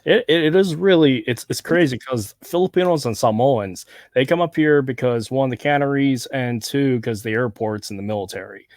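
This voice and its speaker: A man's voice: mid-range voice, dry recording, clean studio sound. A man from Southern California with a laid-back accent that has a Hawaiian touch, talking casually as a podcast host. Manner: relaxed, rambling, quick to agree. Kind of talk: casual conversation.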